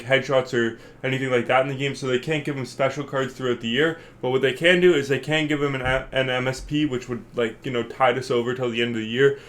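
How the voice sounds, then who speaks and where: A man with a stuffed-up, congested voice talks through his video game predictions in a loose, rambling way. He fills his speech with ums and uhs and thinks out loud. His pitch sits right in the middle for a man. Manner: rambling, filler-heavy, thinking aloud. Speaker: gaming channel host. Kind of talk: casual video game commentary.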